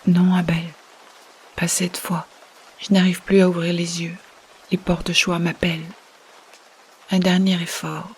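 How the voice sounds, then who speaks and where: An actress playing a fading, resigned, loving woman in a science-fiction audio drama, speaking solemnly in a hushed, half-whispered, breathy voice. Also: lowish voice, little pitch movement, unhurried, pauses often. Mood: tender, farewell.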